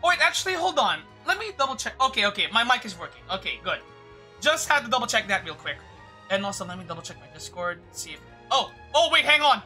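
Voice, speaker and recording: A woman's voice: on the high side, very animated, fast talker, pushed and bright, faint music behind. A woman livestreaming a game with casual, rambling commentary, often stammering and swearing, on a streaming microphone.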